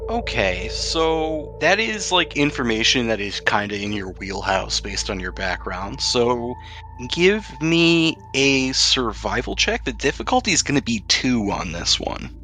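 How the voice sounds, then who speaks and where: A man with a bright, forward voice runs a tabletop role-playing game as game master. He improvises narration in a casual, conversational, joking manner, with faint music behind him. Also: medium pitch, big pitch swings, unhurried.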